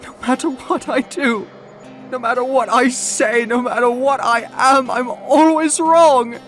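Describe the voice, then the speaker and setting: A man's voice: very high voice, steady tone, unhurried, a bit bright, soft background music, pitched above the performer's own voice. A man voicing a lonely, reserved male muse in an audio drama, terse and troubled. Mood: anguished.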